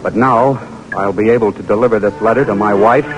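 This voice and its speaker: A man acts as a daring American army officer in a vintage radio drama. His voice is very deep, and music plays behind him on the old broadcast. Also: a little fast, close to an everyday voice, low-quality recording. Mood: tense, commanding. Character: daring, dogged American army lieutenant, devoted husband. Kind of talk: 1940s radio drama acting.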